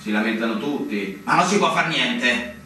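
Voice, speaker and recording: A male actor playing a melancholy, resigned fortress officer in a radio drama, halting and brooding in a dreamy, poetic way. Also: mid-range voice, expressive, bright, forceful tone, a little hiss or hum. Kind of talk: theatrical radio drama acting. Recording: very echoey, distant, music underneath.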